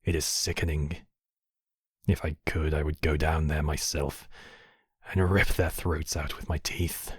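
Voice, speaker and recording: A man's voice: very deep voice, level tone, a little slow, breathy edge, no room sound, studio quality, exaggerated voice. A man playing a commanding yet compassionate demon king, speaking in formal, regal phrases in an intimate ASMR roleplay. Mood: indignant, menacing.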